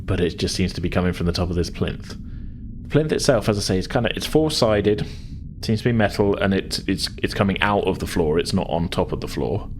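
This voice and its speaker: A man's voice: low voice, animated, fairly quick, a little mellow, slight background noise. A man narrating an improvised tabletop game as game master, feeling his way through descriptions with hedged, searching phrasing.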